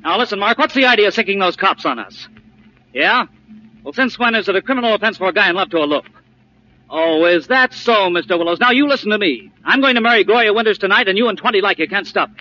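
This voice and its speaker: A man's voice: high-pitched, expressive, quick pace, pushed and bright, heavily acted. An actor playing a headstrong, carefree rich young man in love in a 1940s radio drama. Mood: indignant. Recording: dull, faintly noisy old radio recording.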